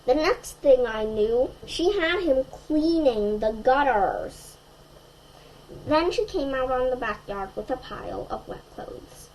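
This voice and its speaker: Woman, higher-pitched, very unhurried, expressive children's read-aloud, faint background noise. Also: rough recording. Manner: expressive, asks the young listeners questions. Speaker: storyteller.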